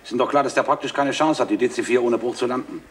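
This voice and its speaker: An actor playing a gruff, sceptical airline captain who objects forcefully in an old German television film. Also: male voice, medium pitch, very fast, lightly acted. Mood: indignant.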